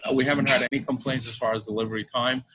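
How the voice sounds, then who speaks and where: A man with a bright, forceful voice talks as a restaurant owner being interviewed. He speaks casually, often halting and repeating himself, with faint noise behind him. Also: mid-range voice, steady tone, rough recording. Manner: halting, repetitive phrasing.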